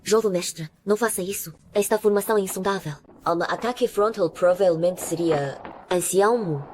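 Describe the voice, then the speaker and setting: A woman's voice: medium pitch, moderate pace, darkish tone, a little hiss or hum, close to an everyday voice. A woman voicing a wary loyal retainer. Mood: urgent. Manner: earnest, warning. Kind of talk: dubbed motion comic acting.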